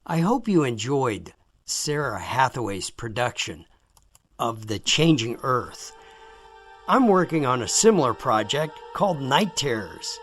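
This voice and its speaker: Male promo read: animated intonation, radio-style, faint music bed. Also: medium pitch, measured pace. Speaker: audio drama producer.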